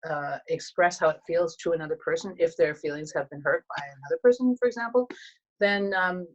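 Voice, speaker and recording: A Canadian woman in her thirties with a low voice speaks softly and thoughtfully. She pauses often and restarts her sentences. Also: highs cut off. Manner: soft-spoken, reflective, many fillers and restarts. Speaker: guest, teacher and coach. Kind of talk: casual conversation.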